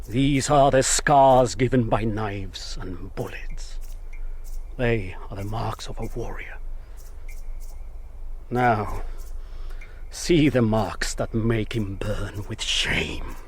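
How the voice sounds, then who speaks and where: An actor playing an embittered, proud Huron warrior chief in a radio drama, speaking in a solemn, declamatory way. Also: male voice, on the low side, a little hiss or hum. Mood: bitter, brooding. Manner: solemn, declamatory, speaks of himself in third person.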